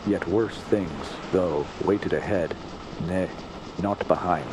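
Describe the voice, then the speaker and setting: Low-voiced haunted sea captain, loud sea noise. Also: male voice. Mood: haunted, regretful. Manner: halting, inverted word order, grim. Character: haunted, weary Scandinavian sea captain recounting a dreadful voyage. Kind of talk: radio drama monologue. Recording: loud sea and boat ambience behind.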